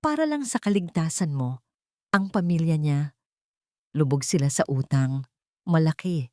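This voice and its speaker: A woman's voice: deep voice, animated, unhurried, mellow, dry recording, studio quality. A woman voicing a scheming male cousin who feigns concern in a confiding, insinuating way, in a drama story.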